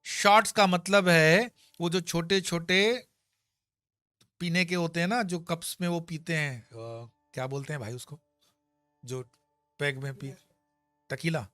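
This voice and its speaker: A man teaching scripture in a sermon-style discourse, swinging between loud and soft as he explains with everyday examples. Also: fairly high pitch, measured pace, long pauses, no room sound, studio quality, faint music behind. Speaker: spiritual teacher. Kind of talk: Gita discourse.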